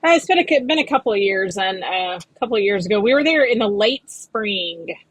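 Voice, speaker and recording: A woman's voice: mid-range voice, animated, fairly bright tone, husky, no room sound, rough recording. Upbeat woman guest on a podcast. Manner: friendly, upbeat, quick quips. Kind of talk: casual podcast chat.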